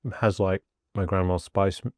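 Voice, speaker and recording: A man hosting a podcast, his voice very low and dark. He talks in a relaxed, loose way, full of fillers. The sound is dry and close. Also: normal speed, dry recording. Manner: relaxed, many filler likes. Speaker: podcast host. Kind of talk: casual chat.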